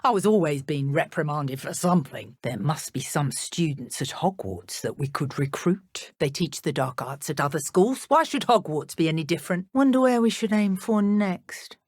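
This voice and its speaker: An actress playing a cruel, haughty British dark witch henchwoman in a video game, with a taunting, contemptuous manner. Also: sits low, lively intonation, normal speed, few pauses, slightly rough, no echo, studio quality. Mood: scornful, brooding. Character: cruel, sneering female dark wizard henchwoman, adult. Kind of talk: video game combat and idle voice lines.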